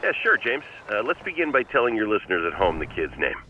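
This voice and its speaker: An actor playing an easygoing, talkative sports agent in a video game, chatting casually over a phone line. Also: male voice, fairly low pitch, big pitch swings, quick pace. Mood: relaxed, promotional. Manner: folksy, casual. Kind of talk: casual radio phone-in interview. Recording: dull phone line with outdoor noise.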